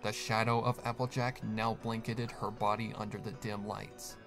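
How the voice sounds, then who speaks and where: A man narrating a horror fan story in a steady, hushed, dramatic voice. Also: on the low side, moderate pace. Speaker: fanfic reader, narrator. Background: music.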